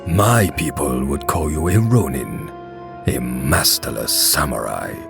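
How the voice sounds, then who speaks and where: A man playing a dignified, reflective samurai archer in a game fan dub, formal and deliberate. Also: rumbling low, vocal fry, exaggerated voice. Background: music.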